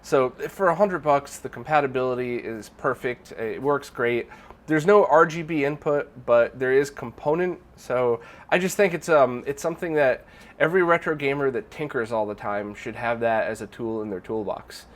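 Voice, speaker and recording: A man's voice: medium pitch, normal speed. A man hosting a casual viewer Q&A, sounding nasal and congested from a recent illness, rambling with lots of fillers and restarts. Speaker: channel host. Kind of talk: casual Q&A monologue.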